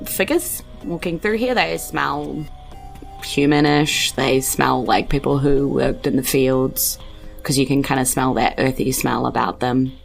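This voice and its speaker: A woman's voice: a bass voice, unhurried, gravelly, faint music behind. A woman with a New Zealand accent improvising tabletop game narration as game master, informal and descriptive with hesitant phrasing.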